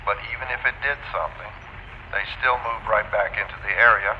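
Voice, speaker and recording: Over a dull, faintly noisy radio link comes a male astronaut in an audio drama. He is frank and folksy, with a lowish voice. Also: fairly quick. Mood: frank, resigned. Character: plain-spoken male astronaut radioing from orbit. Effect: radio transmission filter. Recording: band-limited radio, reverberant, faint noise.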